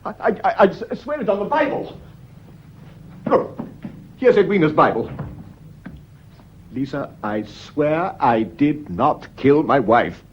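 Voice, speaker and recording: An actor playing a defensive, agitated husband accused of murder, urgent and exasperated, in an old-fashioned British radio drama style. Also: male voice, a little high, sing-song, takes it slowly, long pauses, a little hiss or hum. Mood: desperate, agitated. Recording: dull, lo-fi radio recording.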